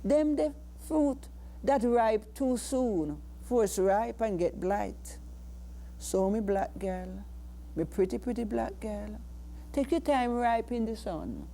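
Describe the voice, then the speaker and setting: An older Jamaican woman reciting poetry in a solemn, measured, declamatory manner. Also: on the high side, expressive, warm tone. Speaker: poet.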